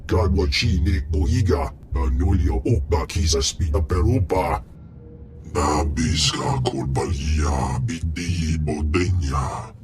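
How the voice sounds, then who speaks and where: A man voicing a blustering alien crime boss in a video game, slow, weighty and imperious, in an invented alien tongue. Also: rumbling low, darkish tone, soft background music.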